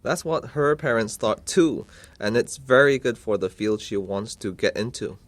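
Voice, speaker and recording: Polite, inquiring uncle in a scripted language-test conversation, played by a man; medium pitch, dry close recording. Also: expressive, moderate pace, no echo, close to an everyday voice. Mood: polite, curious. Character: polite concerned uncle seeking advice for his niece. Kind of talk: scripted listening-test dialogue.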